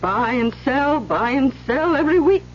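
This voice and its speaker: Casting: bitter, weepy drinker unlucky with men, very high voice, slangy radio-drama delivery, muffled noisy tape. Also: female voice, lively intonation, normal speed. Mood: bitter complaining. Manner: self-pitying, slangy, blunt. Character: bitter, weepy, hard-drinking woman stuck with bad men. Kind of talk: old-time radio drama acting.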